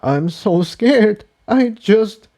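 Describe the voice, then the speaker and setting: Guy doing a young boy pony exile, high voice, bitter n scared, snappy and agitated, story reading. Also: animated, drawn-out words, dark tone, full character voice. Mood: shaken, scared. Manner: agitated, accusing, emphatic.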